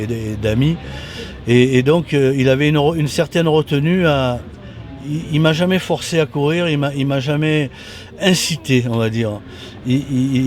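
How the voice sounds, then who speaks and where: A man in his sixties with a mild Toulon accent, a former racer recalling his past in a halting, restart-filled way. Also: mid-range voice, darkish tone, a little hiss or hum. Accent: mild Toulon southern French. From Toulon, southern France. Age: 60s. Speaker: former motorcycle racer, guest. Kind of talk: casual interview reminiscence. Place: at a racing circuit.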